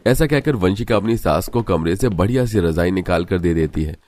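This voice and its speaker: A man's voice: deep voice, expressive, moderate pace, a little mellow. A man narrating a scripted cartoon story.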